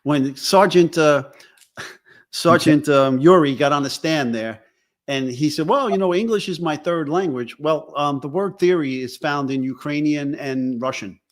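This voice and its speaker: A man co-hosting a livestream as a forensics expert, explaining points to the audience in a deliberate, conversational way. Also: a little high. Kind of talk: casual explanatory chat.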